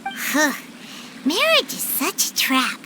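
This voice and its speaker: Game fairy sidekick: tiny, childlike and naive, extremely high squeak, hugely animated intonation, unhurried pacing. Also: female voice, noisy background, full character voice. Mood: glum, unsure. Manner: squeaky, exaggerated, refers to herself in third person. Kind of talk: scripted video game character dialogue. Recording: clear music behind the voice.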